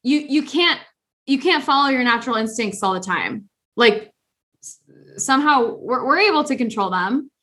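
A young woman co-hosting a podcast, chatting casually in an animated, sarcastic way and often laughing. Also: on the high side, moderate pace. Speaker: podcast co-host. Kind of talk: casual chat.

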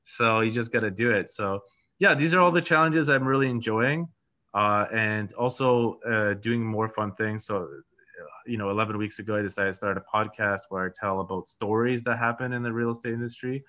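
A man's voice: on the low side, brisk, pushed and bright. A Polish-born man in his thirties, a real estate agent and podcast host, answering interview questions in a rambling, casual way with many fillers and restarts. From Poland. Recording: dull lo-fi audio.

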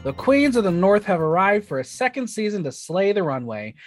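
A man's voice: high voice, big pitch swings, a little fast, soft background music. Chatty man hosting a podcast. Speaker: podcast host. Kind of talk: casual podcast chat.

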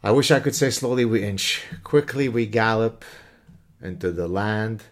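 A man's voice: on the low side, moderate pace. A middle-aged man from Canada hosting his own channel, talking in a casual mocking monologue and now and then breaking into off-key snippets of song.

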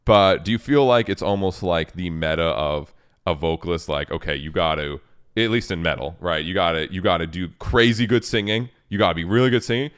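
A man with a very low talking voice, hosting a casual chat and swearing often. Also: fairly quick, clear, resonant tone. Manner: swears often, animated and laughing. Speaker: podcast host.

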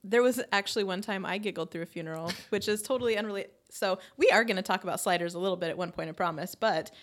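A woman's voice: medium pitch, quick pace. A woman in her late thirties co-hosting a podcast, chatting casually and telling a rambling anecdote with frequent laughter. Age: late 30s. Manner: chatty storyteller, laughs often. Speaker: podcast co-host. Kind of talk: casual chat.

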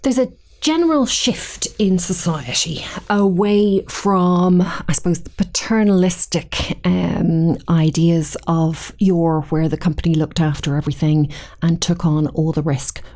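A middle-aged woman with a Dublin Irish accent answers podcast questions at a measured, considered pace. Her voice sits a little low with a warm, relaxed tone. She pauses now and then to restart a phrase as she thinks. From Ireland. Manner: measured, thoughtful, occasional ums and restarts. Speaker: guest, committee chair. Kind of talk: podcast interview.

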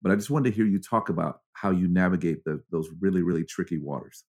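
A man's voice: low-pitched, quick pace, a little mellow. A man hosting a reflective, conversational interview as the interviewer. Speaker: interviewer, host.

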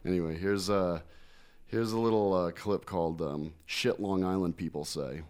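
Laid-back, low-voiced male podcaster. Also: normal speed, a little mellow, clean tone. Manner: laid-back, crude, drops into mock voices. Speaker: podcast co-host. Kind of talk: casual podcast chat.

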